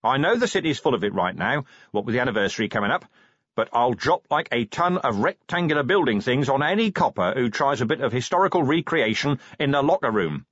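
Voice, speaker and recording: A man's voice: mid-range voice, sing-song, a little fast, fairly bright tone, breathy edge, dry recording, lo-fi sound, voice pushed up. A man voicing a weary, sardonic police commander in an audiobook, blunt, dry and impatient. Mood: weary, stern. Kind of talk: audiobook character acting.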